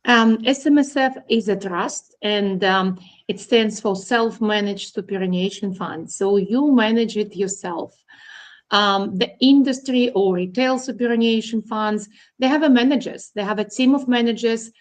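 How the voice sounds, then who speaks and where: A woman's voice: medium pitch, a little slow. Middle-aged russian-born woman, accountant explaining things step by step, lots of ums. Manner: plain, instructive, with ums and uhs. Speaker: guest, accountant. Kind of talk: explaining to an interviewer.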